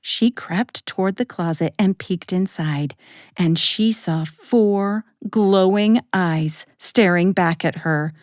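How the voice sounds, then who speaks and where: A woman narrating a children's bedtime story in a gentle, expressive way, speaking straight to young listeners. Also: on the low side, slow pace, no echo, clean studio sound. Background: music.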